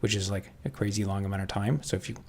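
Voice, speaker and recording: A man with a Canadian accent chatting casually on a livestream, answering viewers and thinking aloud in halting, restarting sentences. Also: fairly low pitch, steady tone, breathy edge.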